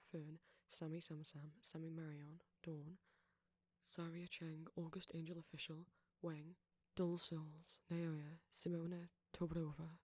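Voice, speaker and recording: Male audio creator reading an outro, breathy and soft, narrow pitch movement, dry close sound. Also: no room sound. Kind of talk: scripted outro, reading supporter names.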